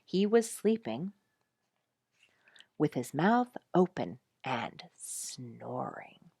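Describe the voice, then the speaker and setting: A woman reading an animal storybook aloud in an expressive, animated storytelling manner, as if for listeners following the pictures. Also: a bass voice, very slow, gravelly, husky, no room sound, clean studio sound. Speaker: storybook reader.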